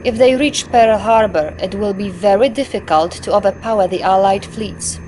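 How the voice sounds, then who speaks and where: Actress doing soviet army lady briefing in a game, crisp and loyal, medium pitch, noisy behind her. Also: moderate pace, mellow, close to an everyday voice. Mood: brisk, approving. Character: young female Soviet military intelligence officer, efficient, loyal. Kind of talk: video game mission briefing.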